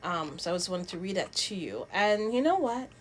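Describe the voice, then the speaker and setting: A woman from Barbados presents casual commentary as a channel host. She speaks at a middling pitch in a halting manner, restarting phrases and repeating words as she makes her points or reads aloud. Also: animated, slight background noise. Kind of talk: casual vlog commentary, reading statements aloud.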